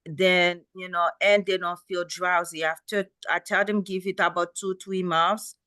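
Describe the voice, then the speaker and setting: A middle-aged woman with a Haitian accent speaks in a bright, forward voice with a dry, close sound. She explains in a plain, anecdotal way as a doctor on a panel, repeating key points. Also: fairly low pitch, level tone, measured pace, no room sound. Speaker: podiatrist, panel guest. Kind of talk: explaining remedies on a medical panel. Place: online webinar call.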